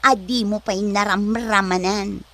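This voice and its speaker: A woman's voice: medium pitch, steady tone, unhurried, bright, forceful tone. A woman playing a vain, mocking young braggart in an Ilocano comedy drama, with an Ilocano accent and haughty, taunting delivery. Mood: boastful.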